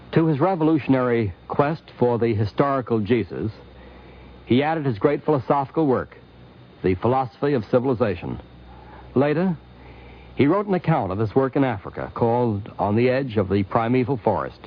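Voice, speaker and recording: A man narrating a scripted 1950s radio documentary in a formal, rhetorical manner. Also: medium pitch. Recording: dull vintage 1950s radio recording.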